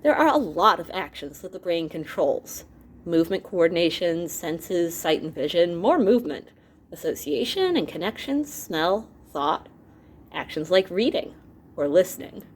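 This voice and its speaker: A woman's voice: on the low side, normal speed, slight background noise. A woman reading an educational book aloud as a teacher to students, expressively, speaking directly to her listeners with asides. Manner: animated, with asides and exclamations to listeners.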